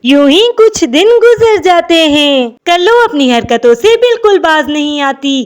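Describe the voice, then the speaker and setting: A woman's voice: high-pitched, animated, a little slow. A woman narrating a scripted children's story.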